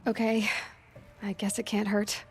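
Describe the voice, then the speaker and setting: A woman's voice: mid-range voice, completely flat delivery, unhurried, soft background music, close to an everyday voice. A woman playing a guarded, blunt young huntress in a video game, with a dry, direct manner. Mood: wry. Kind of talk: video game dialogue acting.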